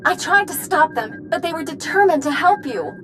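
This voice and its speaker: Anxious, high-voiced young woman. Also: lively intonation, moderate pace, over music. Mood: anxious, urgent. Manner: urgent, pleading. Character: anxious, pleading young woman, earnest and urgent. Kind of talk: dramatic video game acting.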